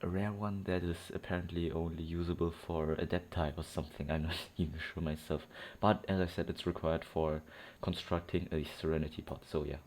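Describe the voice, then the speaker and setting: A man's voice: sits low, fairly even intonation, brisk, hardly any pauses, a little mellow, a little hiss or hum. A man giving casual, rambling, hesitant commentary as he plays through a video game. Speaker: gaming streamer. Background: game music.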